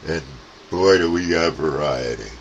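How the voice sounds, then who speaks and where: American guy, really deep voice, cb operator chatting on air, bit of hiss. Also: measured pace, dull audio. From upstate New York, USA. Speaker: amateur CB radio operator, channel co-host. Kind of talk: casual radio contact chat.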